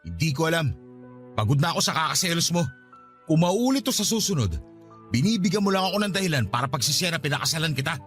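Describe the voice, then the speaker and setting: A man playing a resentful, fed-up husband who feels distrusted, with sharp retorts and a Filipino accent, in a radio drama. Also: on the high side, very animated, fast talker, soft background music. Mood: bitter, weary.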